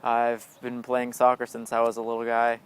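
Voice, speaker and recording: A man's voice: medium pitch, monotone, moderate pace, smooth. A young man from the United States, an anthropology student, introducing himself earnestly and stumbling a little. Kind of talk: casual self-introduction.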